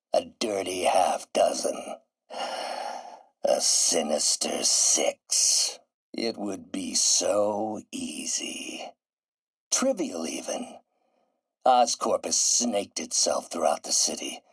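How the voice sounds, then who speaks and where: Husky, brooding scientist villain. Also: male voice, low-pitched, exaggerated voice. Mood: sinister musing, then brooding menace. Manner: theatrical, brooding, deliberate, articulate. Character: brilliant, embittered middle-aged male scientist turning villain. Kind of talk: dramatic video game monologue.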